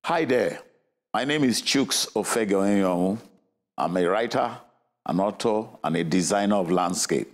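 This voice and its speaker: A man with a Nigerian accent, an author presenting and reading his own novel in a measured literary style. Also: on the low side, expressive. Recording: loud music under the voice. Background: loud music.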